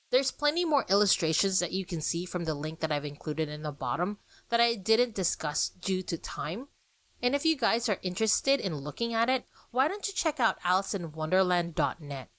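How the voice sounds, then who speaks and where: A woman's voice: fairly low pitch, fairly bright tone, no room sound. A woman hosting a podcast, talking informally about the history of a classic book's illustrations and speaking directly to her listeners. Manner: chatty, friendly, addresses listeners directly. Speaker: podcast host.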